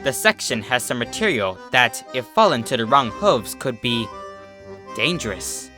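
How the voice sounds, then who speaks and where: A man's voice: mid-range voice, sing-song, over music, natural voice. Man as a careful old mare librarian. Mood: careful, warning. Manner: measured, cautioning. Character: old female pony librarian, weary, careful, tight-smiling. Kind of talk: fan fiction storybook reading.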